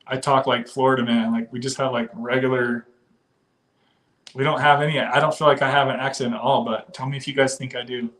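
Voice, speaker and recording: A man's voice: medium pitch, level tone, fairly quick, fairly bright tone, poor audio. A man from the western US hosting a casual livestream, chatting loosely, laughing often and reading viewer comments aloud. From western United States. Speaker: livestream host.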